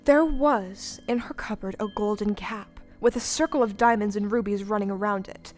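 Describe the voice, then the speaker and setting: A woman's voice: medium pitch, normal speed, close to an everyday voice. A woman playing the storyteller of a fairy-tale audio play, narrating in a theatrical, scripted way. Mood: storytelling. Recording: live stage show with loud music underneath.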